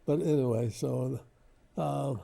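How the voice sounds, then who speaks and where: An older man, reminiscing casually as an interview guest in a halting, modest, rambling way. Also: mid-range voice, fairly even intonation, gravelly, warm tone. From Lebanon, Ohio, United States.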